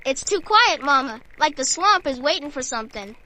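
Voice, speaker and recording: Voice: sits high, very animated, normal speed, bright, forceful tone, a little hiss or hum, heavily acted. An actor playing an anxious, wary young boy in an audio drama, speaking uneasily to his mother. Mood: uneasy. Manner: uneasy, questioning.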